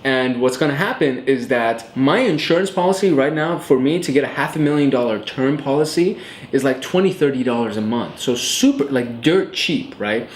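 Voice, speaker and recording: A man's voice: medium pitch, lively intonation, normal speed. A man in his late twenties hosting a show, explaining money topics in a casual, chatty way straight to camera, with lots of fillers. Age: 20s. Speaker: host. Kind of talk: casual educational vlog talk. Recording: roomy echo with faint ambience.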